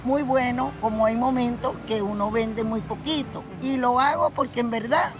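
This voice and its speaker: A man's voice: very high voice, fairly even intonation, normal speed, music in the background. An older man from Venezuela, a beach vendor who talks about his work and thanks God often. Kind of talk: street interview. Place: outdoors near a beach.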